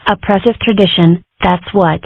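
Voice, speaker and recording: A woman's voice: medium pitch, fairly even intonation, normal speed, gravelly, dry recording. A woman voicing a brash, mischievous young fox girl who makes theatrical, self-important declarations in an amateur comic dub. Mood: indignant. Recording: muffled, tinny phone-like audio.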